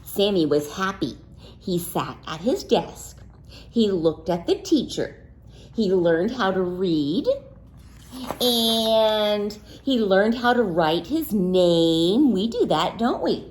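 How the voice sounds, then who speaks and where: A woman's voice: mid-range voice, lively intonation, slow, a little mellow. A woman reading a picture book aloud to children expressively, with simple questions and asides to young listeners.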